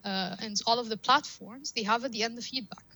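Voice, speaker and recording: Bright, dry-voiced woman explaining ideas. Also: a little high, fairly quick, no echo. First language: Arabic. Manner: explanatory, builds points with examples and repeated phrases. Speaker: guest data scientist. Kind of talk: podcast interview.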